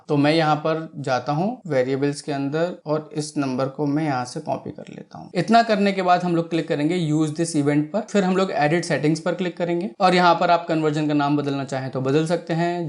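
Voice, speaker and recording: A man presenting a step-by-step screen tutorial, explaining each action and often checking in, with English technical terms mixed in. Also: a little high. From India.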